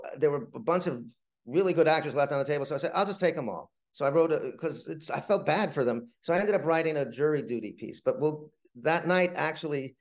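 Halting middle-aged man telling stories. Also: on the high side. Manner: halting, rambling anecdotes with frequent repeated words. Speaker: playwright, interview guest. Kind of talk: conversational interview storytelling.

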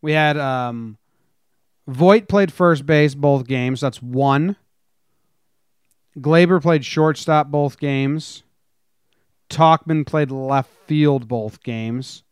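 A man's voice: fairly high pitch, very slow, long pauses, clear, resonant tone, no echo, studio quality. A man co-hosting a sports podcast, talking casually and informally as a fan. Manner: informal, conversational. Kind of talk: casual chat, fan discussion.

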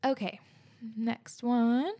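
A woman's voice: a little high, extremely slow, mellow. A young woman hosting a podcast, chatting casually and gushing over listener submissions. She talks in a bubbly way, says "like" a lot, and sounds a little out of breath. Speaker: podcast host.

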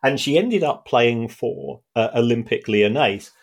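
A man co-hosting a casual football podcast, speaking in halting phrases full of restarts, with measured indignation. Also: mid-range voice, expressive. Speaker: podcast co-host.

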